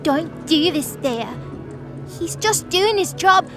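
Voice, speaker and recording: A woman's voice: very high voice, lively intonation, takes it slowly, full character voice. A woman voicing a timid, anxious little girl goddess who pleads in a fantasy audio drama. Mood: pleading. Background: faint music.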